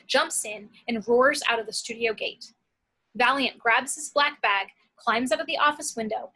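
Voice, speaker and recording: A woman's voice: fairly high pitch, pushed and bright, low-quality recording. A woman reading screenplay action and scene headings as scripted narration.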